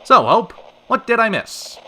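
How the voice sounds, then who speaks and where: Vintage radio drama lead: flamboyant male show host played by an actor, lively swooping pitch, sharp bright announcer polish. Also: a little slow, slight background noise, exaggerated voice, poor audio. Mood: breezy, curious. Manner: theatrical, polished announcer delivery. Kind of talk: 1940s radio acting.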